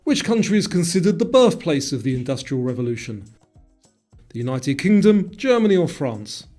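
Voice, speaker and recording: Guy narrating a quiz, measured delivery, really mellow voice, bit on the high side, little music under it. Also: sing-song.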